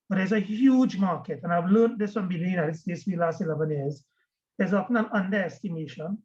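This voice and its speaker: Trinidadian guy giving a talk over a video call, highish voice, trips over his words a bit, muffled audio. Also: a little mellow. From Trinidad and Tobago. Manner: repeats words when starting phrases. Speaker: guest speaker. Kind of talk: webinar presentation.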